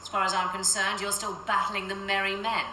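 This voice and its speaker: A woman's voice: medium pitch, little pitch movement, a little fast, pushed and bright. An actress playing a stern, authoritative woman department chief in a radio drama, speaking in a cool, official manner. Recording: roomy echo, faint background noise.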